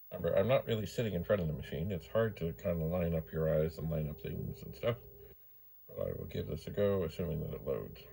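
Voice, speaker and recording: A man's voice: low voice, creaky, warm tone, a little hiss or hum. A man hosting a hobby video, giving casual, rambling commentary as he demonstrates, with musing asides to himself. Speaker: host of a retro computer video channel.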